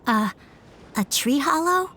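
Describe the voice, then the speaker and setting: A woman voicing a shy, polite little boy in an animated video game, sensitive and hesitant in the way of speaking. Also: sits high, takes it slowly, slight background noise, exaggerated voice. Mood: upset, hesitant. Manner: hesitant, soft-spoken stammering.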